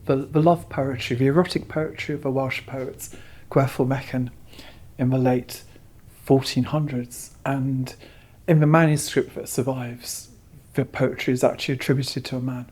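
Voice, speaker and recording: A man's voice: mid-range voice, fairly even intonation, moderate pace, darkish tone. A man speaking as a curator interviewee, explaining emphatically and repeating words as he goes.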